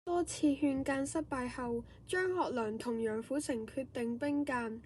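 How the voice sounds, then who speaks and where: High voiced lady narrator, mellow tone, scripted history play, bit of noise. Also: a little slow. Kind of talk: scripted radio drama narration.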